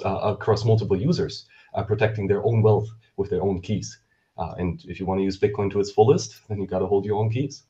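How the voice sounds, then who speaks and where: A man explaining his point as a panelist over a video call with a headset, fluent and earnest, with steady uh fillers. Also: lowish voice. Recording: dull lo-fi call audio.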